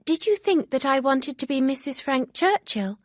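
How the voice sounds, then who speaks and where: A woman's voice: high-pitched, animated, moderate pace, no room sound, studio quality, voice pushed up. A woman doing a bubbly, eager young woman.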